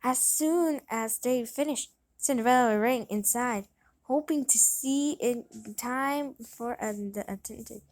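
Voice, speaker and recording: A woman with a high voice narrates a read-along story for children. She goes slowly and haltingly, stumbling over words and sometimes repeating them. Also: darkish tone. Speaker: storybook reader.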